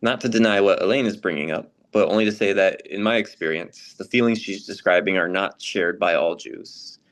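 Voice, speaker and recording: A courteous male church member, read by a man in a readers theater piece, talks through questions of justice in a measured, thoughtful way. His voice is a bit low and stays polite. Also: fairly bright tone. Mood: gently challenging. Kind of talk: scripted dialogue read as casual discussion.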